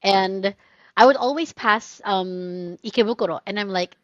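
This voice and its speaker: A woman co-hosting a relaxed podcast chat, telling funny stories and slipping into playful voices for the people she quotes. Her pitch stays in a middle range, and the sound is dry and close. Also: unhurried, dry recording, muffled recording. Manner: animated storyteller, acts out quoted voices, laughs often. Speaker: podcast co-host. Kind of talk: casual chat.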